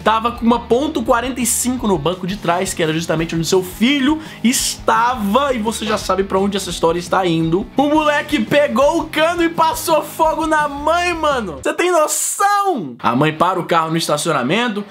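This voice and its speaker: Vlogger man in his thirties with a head cold, high, swinging voice, profane rant to camera, faint music under it. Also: normal speed, few pauses. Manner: animated, profane, slangy, big hand gestures. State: sick with a cold, coughing. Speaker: vlogger host. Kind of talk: casual ranting vlog. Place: home room with shelves of collectibles. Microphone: fixed camera, close framing.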